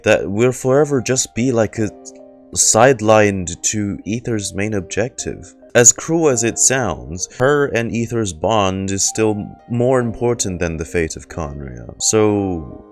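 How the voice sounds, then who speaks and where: Very deep-voiced man reacting to a game. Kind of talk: casual live reaction commentary with dramatic read-alouds.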